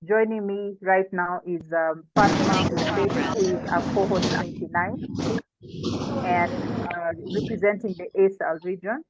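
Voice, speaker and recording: A woman's voice: lowish voice, steady tone, unhurried, very noisy. A woman with a Kenyan accent, informally hosting and moderating an online session and introducing panelists. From coastal Kenya. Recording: thin phone-like online call audio.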